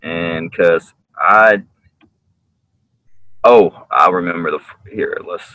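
A man, a singer, talking casually on a video call from a room at home, explaining earnestly with many halting restarts. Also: sits low, sharp tone, no room sound. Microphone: webcam on a video call.

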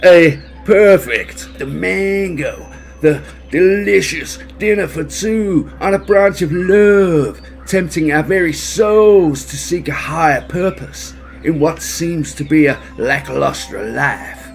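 A man's voice: a little high, takes it slowly, vocal fry, soft background music, pitched above the performer's own voice. A man voicing a big, jolly, theatrical bear from a storybook, exuberant and grandiloquent. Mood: amused, gushing.

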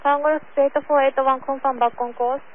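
A woman air traffic controller with a high voice talks over aviation radio. Her calls are short and procedural. The channel is thin and phone-like, with some noise. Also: level tone, moderate pace. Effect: aviation radio transmission. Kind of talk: clipped radio phraseology. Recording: tinny, narrowband, noisy radio channel.

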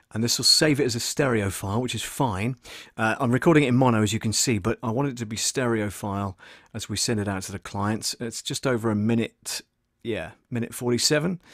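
A man's voice: mid-range voice, no echo. A man in his fifties chatting informally and casually as he hosts a tutorial for viewers, close on a desk microphone. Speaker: audio producer and live-stream host. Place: small soundproofed home studio in a converted shed. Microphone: desk condenser microphone, close.

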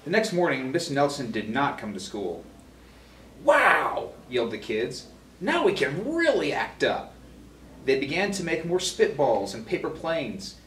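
Man, 30s, lively storybook reader, roomy sound. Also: on the high side, a little slow. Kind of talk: expressive picture-book reading. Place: small school room indoors. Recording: roomy, distant mic, faint noise.

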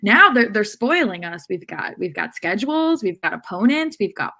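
A woman's voice: mid-range voice, big pitch swings, normal speed, gravelly, bright, forceful tone. A woman in her thirties co-hosting a casual sports discussion over a video call, with a dry sense of humor. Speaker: podcast co-host and sports analyst. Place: room at home, on a video call.

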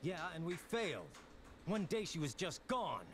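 An actor playing a defensive young rebel in a game drama, curt and wry. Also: male voice, a little high, wildly swooping pitch, unhurried, a little hiss or hum. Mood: regretful, bitter. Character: stubborn, brooding young rebel man, defensive. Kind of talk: dramatic video game voice acting.

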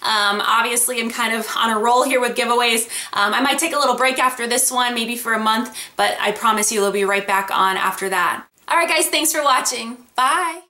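Young woman vlogger, upbeat and chatty, a touch high, echoey home room. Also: fairly quick, hardly any pauses, bright, forceful tone. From Florida. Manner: chatty, lots of ums, upbeat. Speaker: beauty vlogger. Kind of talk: casual product haul talk. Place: home room with desk and lamp. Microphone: webcam at desk.

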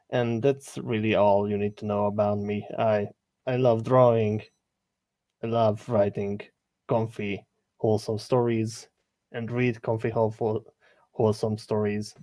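A man's voice: lowish voice, dark tone, no echo. A man with a Hungarian accent chatting casually as a guest artist on a show. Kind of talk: casual chat.

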